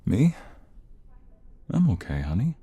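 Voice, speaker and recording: A man playing an injured young mobster, a devoted and protective lover, in an intimate, halting audio roleplay with a gravelly, husky voice. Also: lowish voice, huge pitch swings, dark tone, slight background noise, full character voice. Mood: tender, soothing.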